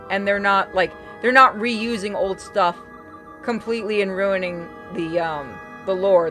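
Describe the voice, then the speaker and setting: Bright-voiced woman chatting on a game stream. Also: mid-range voice. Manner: informal, chatty, swears. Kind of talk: casual chat while playing a game on stream. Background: game music.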